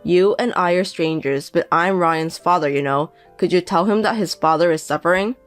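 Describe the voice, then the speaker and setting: A woman voicing a 57-year-old indebted deadbeat father who pleads and pities himself, speaking slowly. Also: on the low side, faint music behind, natural voice. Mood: self-pitying. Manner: pleading, self-pitying, slow.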